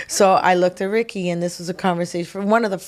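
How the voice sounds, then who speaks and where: A woman's voice: lowish voice, brisk. A woman from Quebec, a singer-songwriter guest, telling stories in a casual interview and acting out quotes with laughs. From Quebec, Canada. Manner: animated storytelling, acts out quotes with laughs.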